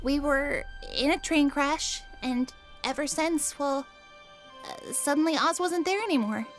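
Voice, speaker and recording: An earnest young cartoon woman with a high voice. She talks plainly and with concern, with music clearly behind her. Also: a little slow. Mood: worried. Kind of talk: animated drama dialogue.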